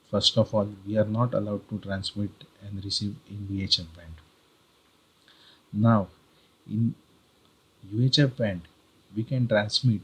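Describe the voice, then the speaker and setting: A man with an Indian accent presenting an explanatory tutorial in a deliberate, repetitive, instructional way, with a husky voice. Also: lowish voice, a bit bright, low-quality recording. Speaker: video guide presenter.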